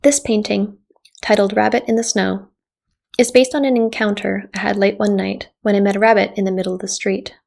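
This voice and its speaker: A Canadian woman whose first language was Japanese, a visual artist reading her artist statement in a measured, reflective way. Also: mid-range voice, fairly even intonation, gravelly, darkish tone. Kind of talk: scripted artist statement narration.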